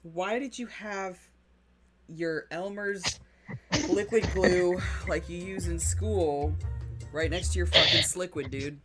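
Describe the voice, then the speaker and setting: A woman's voice: on the low side, slow pace, over loud music. A woman hosting a livestream call-in show, talking bluntly and swearing freely as she reacts. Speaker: host. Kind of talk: casual livestream chat.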